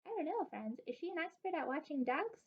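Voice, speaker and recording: A young woman doing an expressive picture book read-aloud, addressing young children as a story time reader. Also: sits high, fairly quick, lo-fi sound. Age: young adult. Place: living room indoors.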